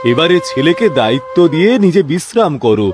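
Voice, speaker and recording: Man playing a chatty neighbour, lightly high-pitched. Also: very animated, fast, noisy background. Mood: genial. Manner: familiar, advising. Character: friendly, chatty male village neighbour giving advice. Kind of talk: cartoon voice acting.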